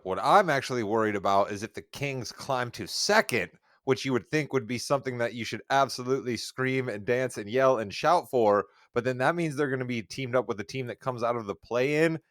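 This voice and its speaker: A man's voice: lowish voice, a bit bright, no room sound, studio quality. Rambling, self-deprecating male sports podcaster. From Northwest Indiana. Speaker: podcast co-host. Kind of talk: casual sports chat.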